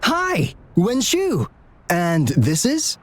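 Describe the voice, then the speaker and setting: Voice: medium pitch, huge pitch swings, drawn-out words, full character voice. An actor voicing an upbeat, persevering young relay athlete in a video game, speaking in an earnest, encouraging way. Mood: hopeful, earnest. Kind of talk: video game character dialogue.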